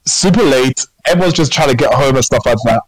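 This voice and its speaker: A man's voice: medium pitch, expressive, a little fast, no echo, low-quality recording. A man from England, telling a story on a casual podcast chat, full of fillers and repeated phrases. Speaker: podcast co-host.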